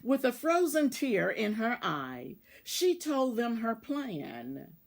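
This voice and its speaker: A woman reading a children's storybook aloud, deliberately and in short phrases, as a volunteer reader. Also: a little high, very animated. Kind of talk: read-aloud children's storybook narration with asides about the pictures.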